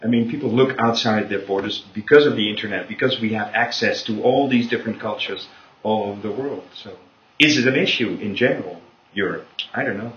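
A man in a casual group discussion, calmly reasoning through his point. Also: on the low side, fairly quick, a little hiss or hum. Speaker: discussion participant. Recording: dull lo-fi recording in an echoey room.

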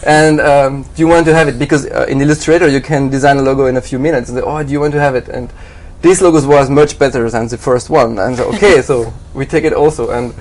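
A man's voice: medium pitch, steady tone, quick pace, few pauses, a little mellow. A man in an interview, answering hesitantly with many fillers and restarts. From Switzerland. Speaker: graphic designer, interview guest. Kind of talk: halting conversational interview answers.

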